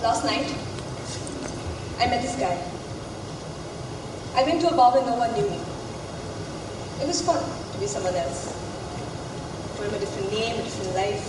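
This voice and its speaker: Stage play: actress as defiant, hurting college girl, high-pitched, far from the mic in a ringing hall. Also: lively intonation, a little slow, pauses often, noisy background. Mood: anguished. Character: wounded, defiant young female college student. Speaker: stage actor. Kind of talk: student stage drama acting. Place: theatre stage, recorded from afar. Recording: echoey hall, far mic, background music.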